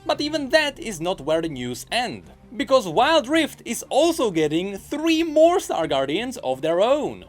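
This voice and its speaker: A man's voice: high-pitched, huge pitch swings, unhurried, few pauses. A man hosting a gaming news show, reading scripted narration in an emphatic way with stretched, swooping stresses. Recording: music playing behind the voice. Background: background music.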